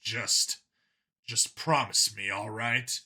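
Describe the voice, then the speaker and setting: A man acts out a guarded science fiction cop in an audiobook. He speaks earnestly and hesitantly, pitched down and subdued. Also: slow pace, sharp tone, husky, heavily acted. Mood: subdued, pleading. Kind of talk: audiobook character dialogue.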